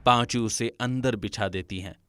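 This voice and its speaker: A man reading scripted narration for an animated family story as its storyteller. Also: medium pitch, moderate pace, clean tone, no room sound.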